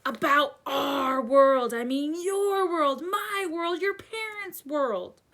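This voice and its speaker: A woman voicing a gruff, hot-tempered but kindly giant man for a storybook, with booming, growling, emphatic delivery. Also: expressive, moderate pace, fairly bright tone. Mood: thundering anger. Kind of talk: children's storybook read-aloud.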